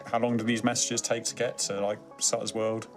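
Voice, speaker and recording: A man's voice: on the low side, level tone, fairly quick, faint music behind. A man playing a tabletop role-playing game, asking questions and planning in a casual way.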